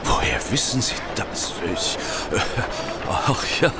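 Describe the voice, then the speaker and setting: An actor playing a nervous, evasive hotel steward in an audio drama, hesitant and anxious. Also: male voice, medium pitch, wildly swooping pitch, slow pace, very noisy. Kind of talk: German audio drama acting.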